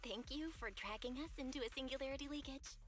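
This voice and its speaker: A woman playing an earnest, stubborn young heroine in an anime-style game, with an expressive delivery. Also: sits high, quick pace, faint music behind. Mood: wry.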